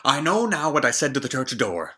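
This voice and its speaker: Guy doing a snooty angry bride from a fairy tale, real bright and indignant. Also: medium pitch, big pitch swings, a little fast, slightly rough, pitched above the performer's own voice, heavily acted. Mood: angry, demanding. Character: haughty, ugly, short-tempered false bride. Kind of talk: storybook character reading.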